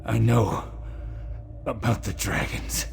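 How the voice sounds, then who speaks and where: A man playing a weary, stubborn dying warrior in a fantasy video game, gravelly, weak and gasping with strain. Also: on the low side, steady tone, takes it slowly, a little hiss or hum, exaggerated voice. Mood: weak, urgent. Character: dying male dragon guard veteran, weary and stubborn.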